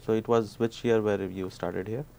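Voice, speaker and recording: A man's voice: on the low side, steady tone, moderate pace, gravelly, warm tone, a little hiss or hum, poor audio. A man hosting a sports talk show, asking questions and patiently explaining the basics of the game. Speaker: show host. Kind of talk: interview hosting.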